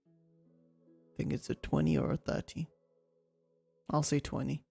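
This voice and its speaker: A man's voice: on the high side, steady tone, measured pace, gravelly, mellow. A man chatting casually as he streams a game, offhand and half muttering to himself. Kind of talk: casual live gameplay chat. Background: game music.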